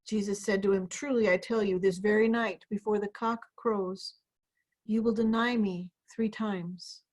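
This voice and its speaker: A woman's voice: mid-range voice, fairly even intonation, measured pace, darkish tone, poor audio. A woman pastor giving a dramatic scripture reading over a video call. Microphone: video call microphone.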